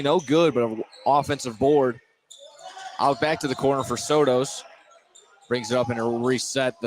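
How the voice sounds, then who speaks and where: A man doing live play-by-play basketball commentary, a little halting, often repeating and correcting himself. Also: mid-range voice, slight background noise, rough recording. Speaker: play-by-play announcer. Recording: clear gym ambience, bouncing basketballs. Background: basketball game in a gym.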